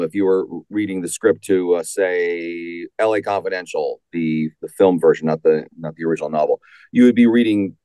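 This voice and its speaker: A man answering as an author in an interview, conversational and halting, repeating words as he goes. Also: low-pitched, warm tone, no echo.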